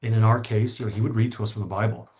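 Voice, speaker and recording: A man's voice: on the low side, fairly even intonation, racing, a little mellow. A middle-aged man speaking as an interview guest, explaining his story articulately and stressing points by repetition. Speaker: podcast guest, author.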